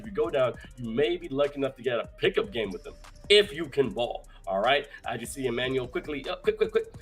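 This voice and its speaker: A young adult man hosting a live streamed show, hyped and energetic, his voice hoarse from talking so much. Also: mid-range voice. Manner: energetic, shouting out viewers in chat. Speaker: host.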